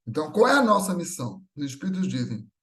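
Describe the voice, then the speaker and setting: High-voiced Brazilian man giving a spiritual talk. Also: big pitch swings, quick pace, fairly bright tone. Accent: Brazilian Portuguese. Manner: didactic, checks in with short tag questions, repeats phrases for emphasis. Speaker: speaker at a Spiritist gathering. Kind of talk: spiritual talk, semi-improvised teaching.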